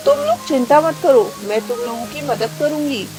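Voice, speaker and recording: A woman acts out a proud female crow from a kids' cartoon fable. Her voice sits fairly high and her delivery is theatrical and declamatory, with music clearly playing underneath. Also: wildly swooping pitch, normal speed, darkish tone. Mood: reassuring. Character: haughty, selfish female crow, later a remorseful heron. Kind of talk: children's animated moral story acting.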